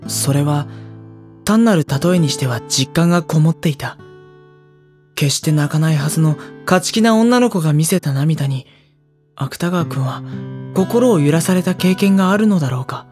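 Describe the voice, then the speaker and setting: A woman playing a gentle, diffident high school boy with a boyish voice in an anime audio drama. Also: expressive, moderate pace, faint music behind. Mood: pensive narration. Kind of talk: anime audio drama dialogue and inner monologue.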